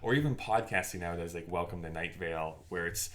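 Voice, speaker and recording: A man's voice: low-pitched, quick pace, a bit bright. A man co-hosting a podcast in casual conversation, musing aloud informally and often rewording himself mid-thought. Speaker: podcast co-host.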